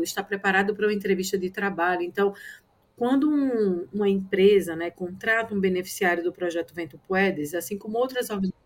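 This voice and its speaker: Woman explaining a project steadily. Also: mid-range voice. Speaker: nonprofit representative guest. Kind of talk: panel discussion.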